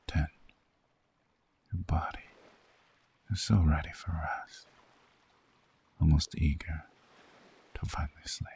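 A man voicing a soothing, seductive vampire lover in a slow, hypnotic ASMR sleep roleplay, half-whispering with a breathy, gravelly voice. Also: extremely low pitch, level tone, a little mellow, heavily acted. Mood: hypnotic, lulling. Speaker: ASMR voice actor.

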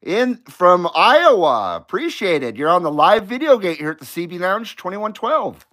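A man's voice: high voice, very animated, moderate pace, a bit bright. A man hosting as a CB radio operator, with showy, hearty on-air patter and repeated catchphrases. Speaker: CB radio operator and live stream host.

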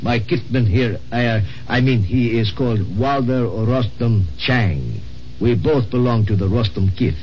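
An actor in 1950s radio drama playing a kindly future man who speaks stilted, halting English. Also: medium pitch, natural voice. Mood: halting, formal. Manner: halting, stilted, broken grammar. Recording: muffled, noisy old radio recording.

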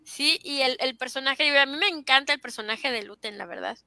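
A Mexican woman with a high, bright voice chatting with fellow fans over a video call. The sound is dry and close. She talks with enthusiasm and agrees emphatically. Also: brisk, vocal fry, no echo. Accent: Mexican Spanish. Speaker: podcast co-host. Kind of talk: casual fan chat over video call.

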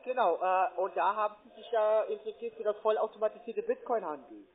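High-voiced salesman on a tinny phone. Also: expressive, fairly quick, breathy edge. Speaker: call centre sales agent. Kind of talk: cold sales call. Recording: tinny narrowband phone line.

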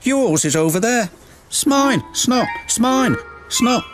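A man's voice: very high voice, expressive, measured pace, music in the background, pitched above the performer's own voice. A man voicing a naughty, bickering young tank engine in a children's storybook, with a huffy, boyish manner. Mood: squabbling, indignant.

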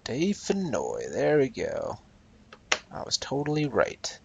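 A young man playing a video game and chatting over it, sarcastic and rambling, with a raspy voice he calls high. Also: wildly swooping pitch, slow, slight background noise. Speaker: gameplay commentator. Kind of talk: casual game commentary.

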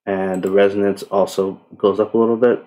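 A man giving a casual software tutorial in a relaxed, unhurried way with tentative asides. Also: fairly low pitch. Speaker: tutorial presenter. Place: indoors, voice-over on screen recording.

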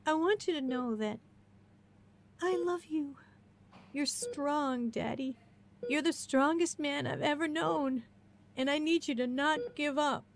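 A high, slow, tearful young woman in a radio drama, pleading with a voice that climbs higher and breaks with worry. She sounds distraught.

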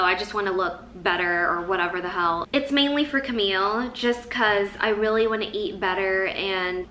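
Woman vlogger in her thirties, Tagalog her first tongue, bright higher voice, echoey room. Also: a little hiss or hum. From Philippines. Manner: chatty, expressive hand gestures. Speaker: lifestyle vlogger. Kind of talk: casual chat to camera. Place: living room with Christmas tree.

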